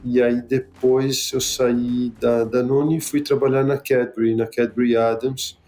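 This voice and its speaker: A man's voice: mid-range voice, little pitch movement, a little slow, darkish tone, soft background music. A man from São Paulo, a guest explaining his marketing career plainly, tagging sentences with "né". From São Paulo, Brazil. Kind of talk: casual interview chat.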